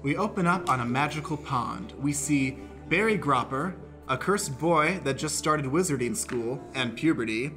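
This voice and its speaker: A man's voice: mid-range voice, expressive, moderate pace. A man narrating stage directions as the stage manager of a comic improvised radio play. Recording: loud music behind the voice.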